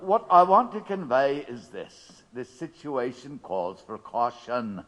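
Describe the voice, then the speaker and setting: An actor playing a shrewd, cautious male head of a detective agency in an audio drama, measured and emphatic. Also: mid-range voice, sing-song, fairly bright tone, rough recording. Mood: cautious, persuasive.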